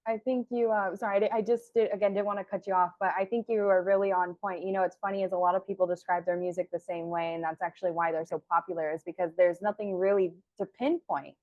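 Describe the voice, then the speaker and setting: A woman's voice: lowish voice, fairly even intonation, fast, hardly any pauses, no echo. A woman interviewer asking careful, polite questions and affirming her guest. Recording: dull, lo-fi dry audio.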